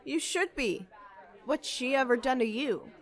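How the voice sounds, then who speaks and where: A woman's voice: sits high, lively intonation, measured pace, a faint crowd. A woman playing an outspoken, hot-tempered cafe worker who stands up for outcasts, in an audio drama. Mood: protective. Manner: confrontational, impassioned.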